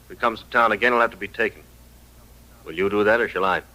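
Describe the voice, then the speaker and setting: An actor playing a young, laconic deputy marshal in an old-time radio western, firm and matter-of-fact. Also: male voice, fairly low pitch, quick pace, bright, forceful tone, poor audio.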